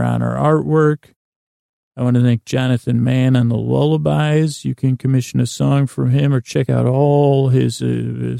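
A man hosting a sleep podcast in a lulling, soothing tone, meandering haltingly through a bedtime monologue with stammers and repeats. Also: mid-range voice, creaky, dark tone, no room sound, studio quality. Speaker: podcast host. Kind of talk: rambling bedtime monologue to lull listeners to sleep.

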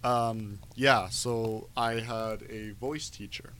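A man's voice: lowish voice, steady tone, takes it slowly, fairly bright tone. A young man co-hosting a podcast, telling a wandering story full of ums and restarts in casual chat. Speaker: podcast co-host.

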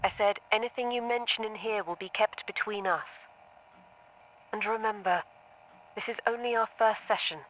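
A woman playing a patient, composed therapist with a measured, reassuring manner in an audio drama. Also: mid-range voice, fairly bright tone, slight background noise, lightly acted, poor audio. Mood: patient, probing. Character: composed, patient female therapist, quietly probing.

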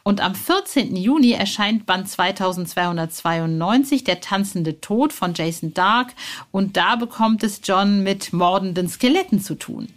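A woman's voice: medium pitch, lively intonation, moderate pace. A woman presenting a podcast as host, speaking directly and personally to her listeners. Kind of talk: podcast moderation, addressing listeners.

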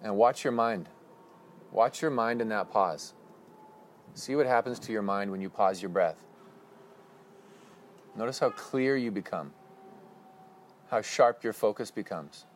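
A man leading a guided breathing meditation with slow, calm, instructive cues. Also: lowish voice, a little hiss or hum.

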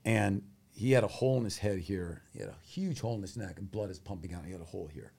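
A guest who grew up in Thailand recounts hard experiences with intense, emotional urgency. His voice is low and dark, with a mellow warmth. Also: fast talker, creaky, breathy edge. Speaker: guest, humanitarian group founder. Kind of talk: conversational interview talk.

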